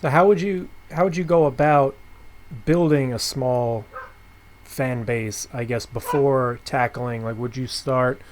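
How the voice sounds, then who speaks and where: A man's voice: medium pitch, unhurried, background noise. A man hosting a casual podcast interview, feeling his way through questions and rephrasing as he goes.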